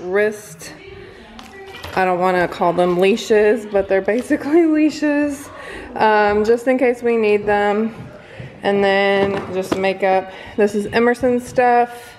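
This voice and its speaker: An American woman in her thirties talks in a slow, casual way, as a mom does on a family vlog. Her pitch sits in the middle for a woman. There is clear noise around her voice, picked up by a camera close by. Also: a little mellow, reverberant. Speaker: mother vlogging. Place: at home and in a parked or moving car. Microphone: camera at about arm's length.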